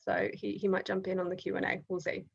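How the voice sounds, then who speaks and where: A woman's voice: on the low side, monotone, quick pace, creaky, breathy edge, highs cut off. A woman with an Australian accent hosting an online event on a video call, talking with frequent ums and restarts. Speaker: event host.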